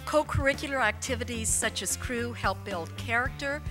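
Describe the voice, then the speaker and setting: A woman's voice: fairly high pitch, moderate pace, music in the background. A woman giving a public speech as a university leader at a ceremony.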